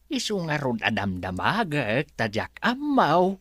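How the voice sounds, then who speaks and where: Husky, very animated anxious Filipino servant. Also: male voice, fairly high pitch, measured pace, no echo, clean studio sound, heavily acted. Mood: worried, confused. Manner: hesitant, stammering, deferential. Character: anxious, humble male servant pleading with his boss. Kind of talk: Filipino radio drama acting.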